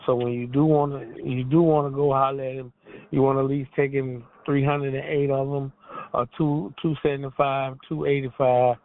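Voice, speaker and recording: Regular guy calling in, flat delivery, plainspoken slangy chat, narrow phone audio. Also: mid-range voice, normal speed, no room sound. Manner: informal, plainspoken, colloquial. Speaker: caller, small pallet business owner. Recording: tinny narrow phone line.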